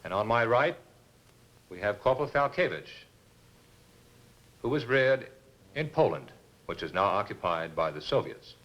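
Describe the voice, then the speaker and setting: A man's voice: lowish voice, expressive, normal speed, pauses often, muffled recording. A man, an army colonel, answering questions in a formal, assured manner in a scripted interview.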